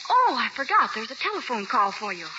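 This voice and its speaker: A devoted young wife: soft and affectionate, 1940s radio drama, lo-fi with hiss. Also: female voice, on the high side, sing-song, fairly quick. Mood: loving. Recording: old 1940s radio broadcast, hissy and dull.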